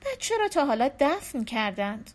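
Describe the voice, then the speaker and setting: A woman voicing a calm husband who breaks bad news gently, in a soft, measured way. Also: sits high.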